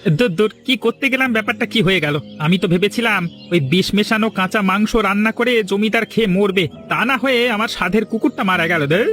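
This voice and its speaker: A man's voice: high voice, brisk, few pauses, a bit bright. A man voicing a scheming, mocking middle-aged village villain in a cartoon fairy tale. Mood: grumbling, scheming. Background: music.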